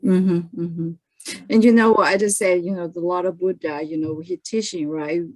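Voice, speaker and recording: A woman's voice: fairly low pitch, steady tone, normal speed, darkish tone, no room sound, poor audio. A woman interviewer who murmurs agreement often and talks hesitantly, full of "you know" fillers. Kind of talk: interview, affirming and chiming in.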